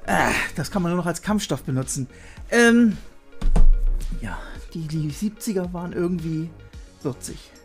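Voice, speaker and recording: A man's voice: on the high side, lively intonation, unhurried, pauses often, soft background music. A man hosting a retro video show in a room full of collectibles, joking about himself and reading his facts in a casual way. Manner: jokey, self-mocking. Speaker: host. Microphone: studio mic on arm.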